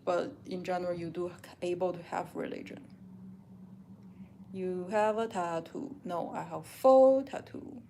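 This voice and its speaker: A woman's voice: lowish voice, steady tone, a little slow, creaky, dark tone, slight background noise. A woman from China, a native Mandarin speaker with a Chinese accent, reading viewer questions aloud and answering them frankly in casual chat while doing dishes at home.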